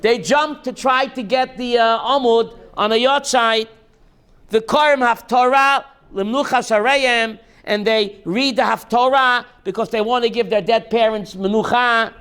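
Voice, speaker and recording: A rabbi teaching a class, reading text slowly and then explaining it in while quizzing his students. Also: extremely high pitch, fairly even intonation, sharp tone, clean tone. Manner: reads Hebrew text aloud slowly, then explains in English, quizzing listeners.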